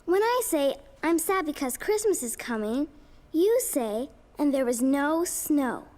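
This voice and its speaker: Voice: high voice, expressive, a little slow, darkish tone. A performer voicing a kind, reassuring little girl acting in a school play in a cartoon. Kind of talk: scripted children's cartoon acting. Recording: hall reverb, faint music behind.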